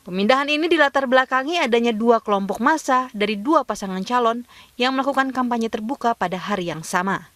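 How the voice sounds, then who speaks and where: A woman's voice: fairly high pitch, a little fast, fairly bright tone. A woman reading a formal scripted news report as a voice-over. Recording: crowd noise behind voice-over. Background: crowd.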